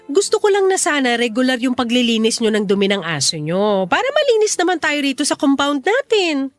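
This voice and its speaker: An actress playing a frank, reasonable neighbor woman in a radio drama, pleading with gentle reproach. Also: high-pitched, sing-song, a little fast, faint music behind. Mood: exasperated. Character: frank, reasonable female neighbor, earnest and exasperated.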